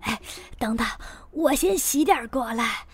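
A woman's voice: fairly high pitch, lively intonation, measured pace, husky, faint music behind. An actress playing a kindly, chatty elderly grandmother in a radio drama, homely and rambling. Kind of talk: Chinese radio drama acting.

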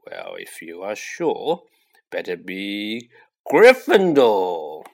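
A man reading a storybook aloud, voicing a sly old talking magic hat that muses slowly over its choice. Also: mid-range voice, big pitch swings, exaggerated voice. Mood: coaxing. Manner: slow, drawn-out musing. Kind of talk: audiobook character voice.

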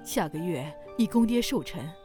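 A woman's voice: fairly low pitch, lively intonation, moderate pace, mellow, soft background music, close to an everyday voice. Fretful, kindly older mother-in-law played by a woman. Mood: pleading, regretful. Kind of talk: Chinese period audio drama acting.

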